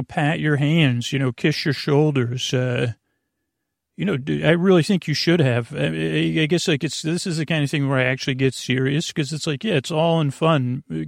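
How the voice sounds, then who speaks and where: A man with a gravelly voice hosting a bedtime podcast in a halting, whimsical, rambling monologue that keeps interrupting itself. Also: on the high side, dry recording, studio quality. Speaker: podcast host.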